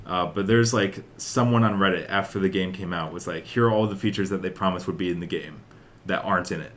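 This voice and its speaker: Young dude, really low voice, rambly casual podcast talk, slight noise behind him. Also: male voice, fast talker, fairly bright tone. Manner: rambling, peppered with "like" and "uh". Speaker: podcast host. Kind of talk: casual chat about video game news.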